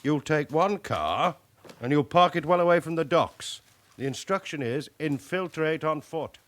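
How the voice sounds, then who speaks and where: Brisk British police chief. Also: male voice, fairly high pitch, lively intonation, a bit bright. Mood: instructing. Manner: brisk, matter-of-fact, instructing. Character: brisk, authoritative male senior police officer. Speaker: actor in a radio drama. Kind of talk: naturalistic British radio drama acting.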